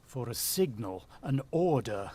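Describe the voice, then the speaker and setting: A man's voice: mid-range voice, big pitch swings, a little slow, dark tone, husky. An actor playing a worried, watchful country father who also narrates, in the style of a vintage radio drama. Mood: anxious, coaxing.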